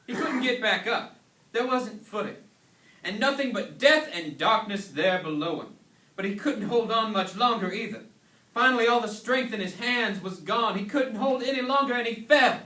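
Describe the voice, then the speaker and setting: High, bright male reader in echoey hall. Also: expressive, normal speed. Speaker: student performer reading a dramatic sermon. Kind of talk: dramatic staged reading, storytelling and preaching. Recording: echoey hall, recorded from far off.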